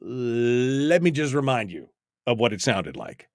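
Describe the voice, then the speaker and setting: A man presents freeform talk radio with an emphatic, easily agitated delivery. His voice sits at an average pitch and rambles in a self-mocking way. The sound is close and dry, as from a studio microphone. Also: sing-song, a little slow, no room sound. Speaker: radio call-in show host.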